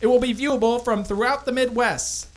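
A woman's voice: fairly high pitch, a little fast, lightly acted. A woman playing an older male 1920s radio newsreader with a formal, declamatory delivery. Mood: formal, measured. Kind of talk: old-time radio news acting.

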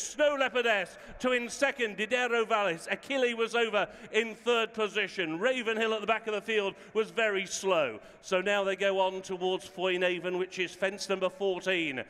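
High-pitched male horse racing commentator. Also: a bit bright, clean tone, big-room sound. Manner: fluent running call, clipped phrases. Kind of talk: live race commentary.

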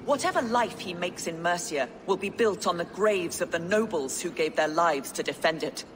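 A woman's voice: lowish voice, lively intonation, normal speed, long reverb tail, slight background noise, lightly acted. A woman playing a proud captive queen. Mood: defiant. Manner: defiant, swinging to desperate pleading. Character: proud captive Mercian queen, loyal wife. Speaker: game character.

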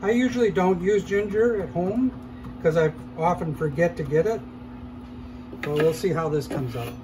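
A man hosting a cooking show, calmly explaining each step as he cooks in a shop demo kitchen. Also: fairly high pitch, normal speed, noisy background. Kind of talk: casual cooking demonstration.

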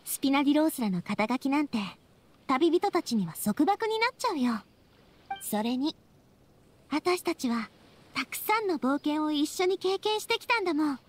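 A woman's voice: high-pitched, sing-song, moderate pace. A woman playing a cheerful, confident young female boss in an anime game, breezy and feminine in manner. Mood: sincere, friendly. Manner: breezy, warm-hearted, feminine. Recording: background music behind the voice.

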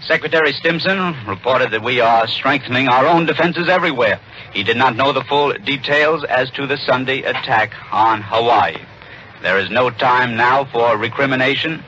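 An American male reporter reads the news in a bright, forceful voice of medium pitch. It is a muffled 1940s radio recording with faint noise. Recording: dull, noisy 1940s radio broadcast.

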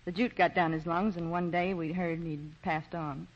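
A hard-shelled lady crook in a 1940s radio play. She talks in curt, world-weary lines with softness underneath, and the recording is dull and muffled. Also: lowish voice, fairly even intonation, moderate pace. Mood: guarded, wry. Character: tough, hard-shelled woman crook with a soft spot. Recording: muffled 1940 radio broadcast.

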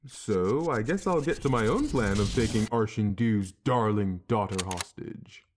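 Woman acting a low-voiced, swaggering, sarcastic mobster. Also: lively intonation, unhurried, background noise. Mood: smug threat. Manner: mocking, swaggering. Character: menacing, sarcastic, vulgar male mobster. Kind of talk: crime comedy audio drama acting.

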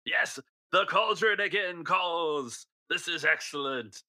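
A man puts on a pompous, jovial gang racer for a tabletop game, boasting theatrically. The voice is very bright and forceful, recorded dry. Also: a little high, takes it slowly, dry recording, pitched above the performer's own voice, exaggerated voice. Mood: boastful.

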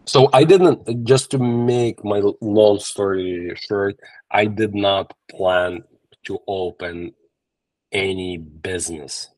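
A man's voice: fairly low pitch, slow, no room sound, rough recording. Ukrainian-accented man on a video call. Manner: animated storytelling in simple non-native English, frequent restarts. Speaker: agency owner, podcast guest. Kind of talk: casual interview chat. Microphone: video call from a laptop or phone camera.

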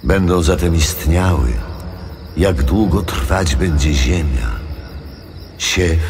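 Casting: God for scripture audio drama. Low, slow, measured, commanding. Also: male voice, music in the background. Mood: solemn resolve. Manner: solemn, measured, authoritative. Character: God of the Old Testament, solemn, commanding male deity.

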